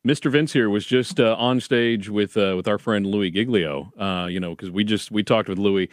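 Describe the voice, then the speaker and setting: Lowish-voiced male radio co-host, casual. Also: moderate pace, dry recording, clean studio sound. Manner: agreeable, joking interjections. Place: radio studio.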